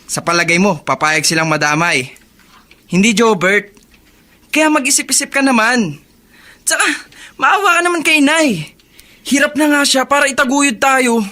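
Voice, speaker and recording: Young man, stern worried older brother, high pitch, bright tense tone, earnest, radio drama. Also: moderate pace, long pauses. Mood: exasperated scolding. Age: young adult. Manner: scolding and earnest. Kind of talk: Filipino radio drama acting.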